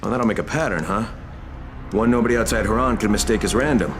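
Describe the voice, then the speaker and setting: An actor playing a brash, determined video game hero with a casual, wry, direct delivery. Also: male voice, lowish voice, fast talker, music in the background, close to an everyday voice. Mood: wry, easygoing. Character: brash, determined male undercover operative and hero. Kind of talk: video game cutscene acting.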